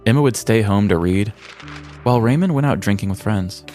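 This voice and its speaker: A man's voice: on the low side, lively intonation, brisk, darkish tone, soft background music. An American man hosting a podcast, reading a scripted comedic story with arch, theatrical mock-seriousness. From United States. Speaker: podcast host.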